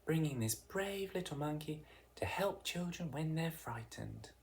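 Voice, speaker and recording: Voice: medium pitch, lively intonation, measured pace, vocal fry, a little mellow, voice pushed up. A storybook reader voicing a grateful, admiring mother, a grown woman.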